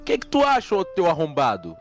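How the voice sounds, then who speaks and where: A man's voice: fairly high pitch, huge pitch swings, a little fast. A Brazilian man doing comic scripted storytelling with acted dialogue in crude slang. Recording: loud music under voice. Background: music.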